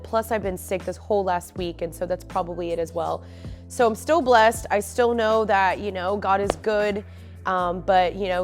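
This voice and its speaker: A young woman with a stuffy nose, chatting casually and openly to camera from her living room as a faith and lifestyle vlogger, with lots of fillers. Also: medium pitch. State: stuffy, sniffly.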